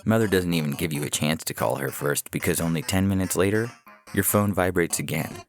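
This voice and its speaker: A man with an extremely low voice narrates short fiction. There is a heavy creak and gravel in his delivery, and music plays underneath as he reads. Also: a little fast. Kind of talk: scripted story narration in second person.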